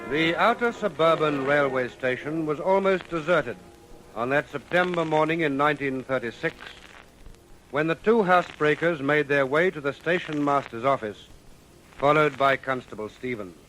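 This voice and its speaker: A man narrating a true-crime radio drama in a measured, solemn, suspenseful scripted style. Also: fairly high pitch, a bit bright, a little hiss or hum, rough recording. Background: music.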